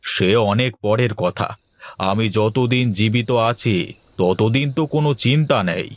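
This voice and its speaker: A man's voice: lowish voice, lively intonation, measured pace. A man voicing a kindly, protective older merchant boss in a cartoon. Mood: kindly, reassuring. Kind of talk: Bengali cartoon dialogue.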